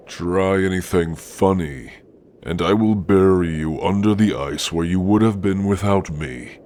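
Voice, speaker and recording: A hulking orc warrior with an exceptionally deep voice. He speaks slowly and sternly, with archaic, menacing phrasing and dark amusement, in an ASMR fantasy roleplay. Some background noise sits behind him. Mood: menacing, amused. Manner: slow, archaic, threatening phrasing.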